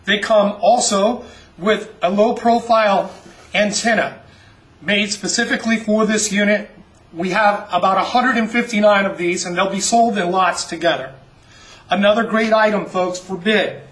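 A man with a rather high voice does a folksy sales pitch for an upcoming auction, calling his listeners folks as he goes. He sits somewhat off the mic. The room around him rings and sounds roomy. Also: moderate pace, fairly bright tone, far from the mic.

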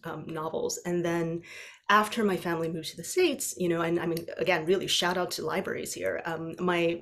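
A woman's voice: fairly low pitch, gravelly. A woman in her thirties from Taiwan whose first language is Chinese, chatting on a video-call author panel with many "like"s and "you know"s. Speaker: debut novelist on a panel. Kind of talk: casual panel conversation. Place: home room with framed pictures.

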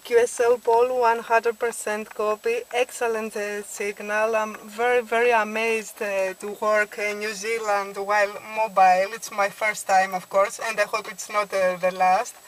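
A woman in her thirties with a Greek accent, talking as an amateur radio operator, halting as she searches for words. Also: medium pitch, little pitch movement, a little hiss or hum, low-quality recording. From Greece. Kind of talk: ham radio contact, conversational. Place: inside a car at night.

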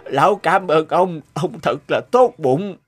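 A weary, humble old beggar. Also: male voice, on the high side, expressive, a little slow. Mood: grateful. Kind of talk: cartoon dubbing. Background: music.

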